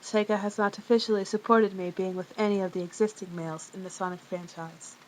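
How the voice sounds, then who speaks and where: A woman's voice: mid-range voice, fairly even intonation, fairly quick, slight background noise, pitched below the performer's own voice, lightly acted, rough recording. A woman voicing a stern, easily irritated female cat character in a fan-made cartoon question show. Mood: curt, weary.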